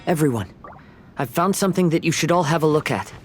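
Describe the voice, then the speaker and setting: A man playing a gentle, thoughtful young swordsman in a video game, speaking in a measured, courteous way. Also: on the high side, expressive, soft background music, natural voice. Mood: inviting.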